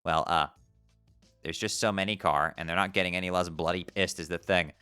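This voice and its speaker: A man voicing a mopey, self-pitying teenage troll boy who talks low and sulky. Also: steady tone, brisk, pushed and bright, smooth, no echo, studio quality, faint music behind.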